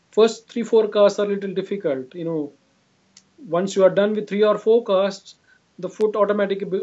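A man's voice: high voice, dark tone. A man in his forties with an Indian accent, explaining his work in an interview and often repeating himself. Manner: repetitive, often says "you know". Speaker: clinician being interviewed. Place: indoor room, video call. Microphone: video call device at arm's length. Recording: dull, lo-fi video call audio.

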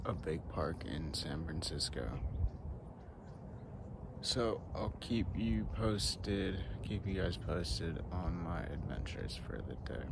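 A young man in his twenties chats to his phone camera at arm's length, his voice sitting a little low. He wanders through his thoughts with ums and restarts, and faint traffic hums in the background. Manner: rambling, with many ums and self-corrections. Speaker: vlogger. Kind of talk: casual daily vlog to camera. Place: house living room, then park outdoors, then inside a car. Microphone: phone camera held at arm's length.

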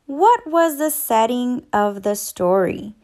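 Casting: female teacher for kids' read-aloud, high voice, very unhurried, encouraging and instructional. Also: expressive, a little mellow. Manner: instructional, encouraging, addressing young children. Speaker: teacher and children's story reader. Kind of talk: children's read-aloud and quiz.

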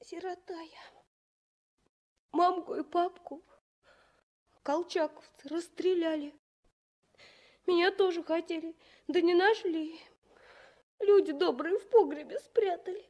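A woman in a 1960s radio play, playing an orphaned little girl with a small, halting, childlike voice, plain-spoken and trusting. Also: extremely high pitch, darkish tone. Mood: tearful, plaintive.

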